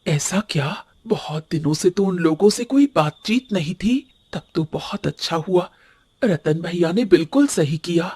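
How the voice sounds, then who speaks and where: A woman's voice: lowish voice, lively intonation, a little slow, darkish tone, breathy edge. A woman playing a courteous, sensible farmer's wife in a cartoon moral story, speaking politely. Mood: pleased. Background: faint music.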